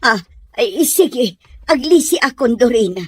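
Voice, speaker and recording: A woman's voice: fairly high pitch, lively intonation, a little slow. A woman playing a worried, solicitous household member in an audio drama, with an Ilocano Filipino accent. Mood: solicitous, meek.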